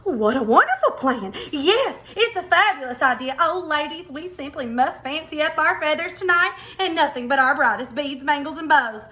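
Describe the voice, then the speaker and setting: A woman acts out a vain storybook hen, gossipy and easily put out. The voice is high and bright, with a fussy, theatrical, exclamatory delivery. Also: lively intonation, normal speed, hardly any pauses. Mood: excited, preening. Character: indignant, vain female farm hens, gossipy and showy.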